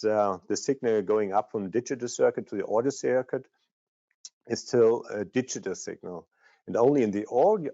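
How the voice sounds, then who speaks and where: Non guy doing product training, dark relaxed voice, bit dull audio, stop and start. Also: fairly low pitch, no echo. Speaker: product manager presenting. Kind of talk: technical product training presentation.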